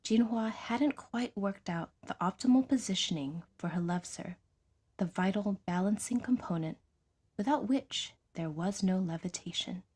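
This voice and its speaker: A woman's voice: medium pitch, normal speed, a little mellow, slightly rough. A woman reading a science fiction story as a scripted audiobook narration.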